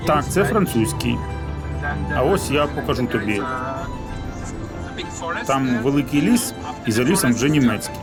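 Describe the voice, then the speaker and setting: A man from Switzerland explains in a friendly way, his voice rising and falling a great deal. Loud music fills the background. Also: mid-range voice, animated, normal speed, long pauses.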